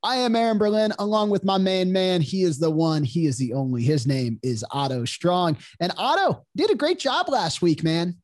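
A nasal man with a head cold hosts a casual sports podcast. His voice sits a bit high and swoops around animatedly. The sound is dry, with no room around it. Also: expressive, moderate pace, hardly any pauses, darkish tone, dry recording. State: congested with a cold. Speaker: podcast host.

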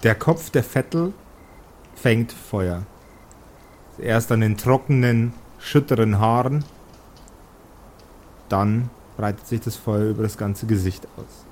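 Slow, deliberate male game master. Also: on the low side, a little mellow, a little hiss or hum. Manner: deliberate, pausing between phrases. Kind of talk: improvised tabletop game narration.

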